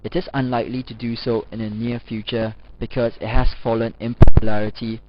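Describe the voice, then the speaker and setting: Male lecturer for scripted teaching narration. Measured, formal read-aloud delivery, medium pitch, slightly dull lo-fi sound. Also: flat delivery, heavy background noise. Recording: dull lo-fi voice-over recording.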